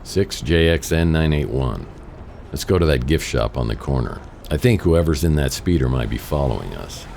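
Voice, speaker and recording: A man's voice: rumbling low, animated, normal speed, vocal fry, darkish tone, long reverb tail, a little hiss or hum, full character voice. An actor playing a wry, hard-boiled private investigator who narrates his case in a laconic noir radio drama style. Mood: wry, watchful.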